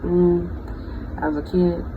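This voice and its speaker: A thirty-year-old vlogger talking casually and off the cuff, in a reflective, halting, freestyled way. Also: medium pitch, little pitch movement. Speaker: bioengineering student. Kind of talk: casual unscripted personal vlog. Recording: dull lo-fi audio with vehicle-like noise.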